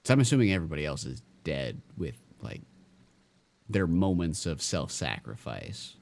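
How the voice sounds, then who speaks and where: A man's voice: very deep voice, very animated, moderate pace, vocal fry, a little mellow. A man casually commenting while he streams a video game, rambling with many stammers and fillers.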